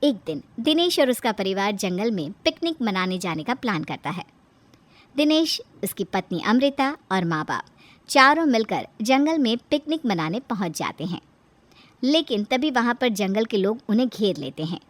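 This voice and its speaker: A woman's voice: on the high side, big pitch swings, moderate pace, dry recording. A woman narrator reading a scripted cartoon story.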